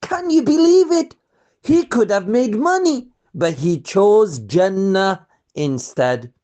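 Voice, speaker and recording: Slow, expressive children's storyteller. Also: medium pitch. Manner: expressive, asks listeners rhetorical questions. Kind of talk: scripted children's religious storytelling.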